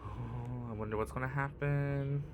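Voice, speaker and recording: A man reading a picture book aloud expressively, in short phrases with deliberate, dramatic pauses. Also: mid-range voice, fairly even intonation, phone-quality sound, background noise. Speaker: read-aloud storyteller.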